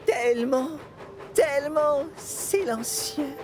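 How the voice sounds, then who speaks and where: A man voicing a young, kind-hearted little steam locomotive in a French children's cartoon, eager, earnest and expressive. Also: very high voice, slow. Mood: hopeful. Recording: loud music behind.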